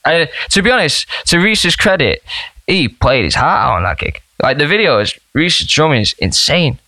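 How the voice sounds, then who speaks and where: A young man, a band member chatting as a podcast guest, telling rambling, animated stories. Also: on the high side, normal speed, no echo. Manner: animated, rambling storyteller with laughs. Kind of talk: casual storytelling chat.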